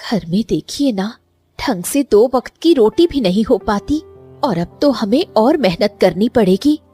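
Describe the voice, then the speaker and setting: A woman's voice: a little high, lively intonation, a little slow, mellow, husky, faint music behind. A woman voicing a worried, nagging farmer's wife who complains and pleads in a cartoon story. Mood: complaining.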